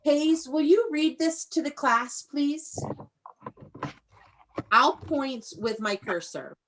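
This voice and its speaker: A woman's voice: sits high, animated, takes it slowly, slight background noise, low-quality recording. A woman teaching young children in an online read-aloud class, prompting them with questions and encouragement in an instructive way.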